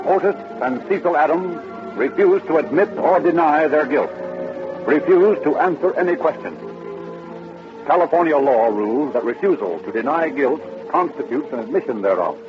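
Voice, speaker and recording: An actor delivering solemn, authoritative scripted narration for a 1930s-style radio crime drama. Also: male voice, a little high, lively intonation, normal speed, lightly acted. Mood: solemn, dramatic. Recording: dull old radio recording. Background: music.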